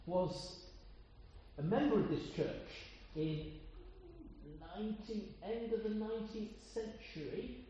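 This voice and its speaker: An older man from with a loud voice, preaching an informal sermon in a chatty, meandering way with frequent pauses. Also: high-pitched, a little hiss or hum. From Coventry, England. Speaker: lay preacher. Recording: echoey hall sound, dull lo-fi.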